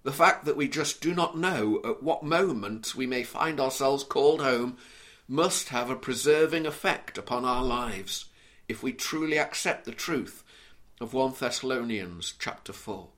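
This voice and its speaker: A man from Liverpool with a mild Scouse accent, preaching an earnest, measured sermon and reading scripture aloud. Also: medium pitch, a bit bright. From Liverpool, England.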